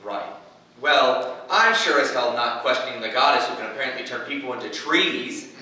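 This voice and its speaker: An actor playing a brash, sarcastic, excitable young fire being who loves a wisecrack, in a staged play reading. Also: male voice, medium pitch, moderate pace. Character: cocky, wisecracking young fiery created being. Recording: very echoey room, distant mic.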